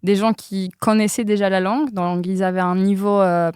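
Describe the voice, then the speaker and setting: A woman's voice: medium pitch, steady tone, normal speed, a little mellow, clear, resonant tone, dry recording, studio quality. Woman speaking French as a second language. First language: not French. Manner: hesitant, many fillers, laughs often. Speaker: interview guest. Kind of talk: casual interview, personal story.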